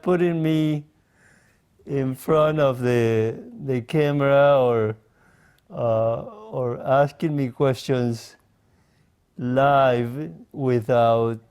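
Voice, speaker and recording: A man in his forties from Mexico, speaking English as an interview guest, in a slow, halting way with many pauses and fillers. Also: medium pitch, darkish tone, smooth. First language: Spanish. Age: 40s. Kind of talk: casual interview conversation.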